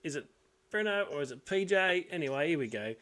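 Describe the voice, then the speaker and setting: Relaxed aussie guy chatting footy ladder predictions, laughs at his own picks. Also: on the high side, fairly quick. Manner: laid-back, laughs at himself. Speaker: podcast co-host. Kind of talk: casual sports tipping chat.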